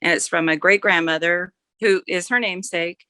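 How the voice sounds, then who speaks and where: A woman's voice: lowish voice, steady tone, normal speed, pushed and bright, clean tone, dry recording, clean studio sound. A mature woman chatting casually as an author guest, rambling a little and correcting herself as she goes. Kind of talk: casual interview chat.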